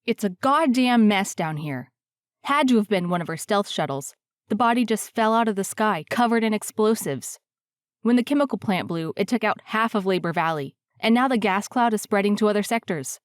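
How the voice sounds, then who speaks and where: Woman acting a blunt military officer, hard-pressed, mid-pitched, dry recording, sci-fi audio drama. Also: lively intonation, moderate pace, no echo, close to an everyday voice. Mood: grim, urgent.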